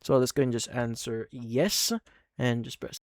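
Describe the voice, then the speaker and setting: A man hosting a software tutorial, instructing step by step in a repetitive way. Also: medium pitch, flat delivery, a little slow, dry recording, studio quality. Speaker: tutorial host. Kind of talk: screen-recorded software tutorial narration.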